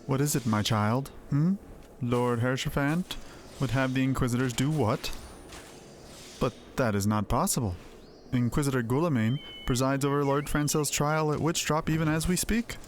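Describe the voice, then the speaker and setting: A man voicing a calm, pious inquisitor in a fantasy game, formal and a little patronizing. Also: mid-range voice, moderate pace, slight background noise, lightly acted. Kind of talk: fantasy game dialogue read aloud. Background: game music.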